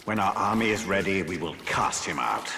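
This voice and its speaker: Deep, reverberant, imperious spirit lord. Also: male voice, expressive, moderate pace, vocal fry, bright, forceful tone, full character voice. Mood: stern, commanding. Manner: grave, commanding, formal. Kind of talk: dramatic video game dialogue. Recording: long reverb, faint noise.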